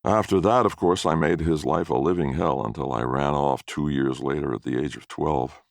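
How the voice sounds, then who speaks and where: A man's voice: moderate pace, no echo, clean studio sound. A man giving a dramatic first-person reading of a short story. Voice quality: very deep, gravelly.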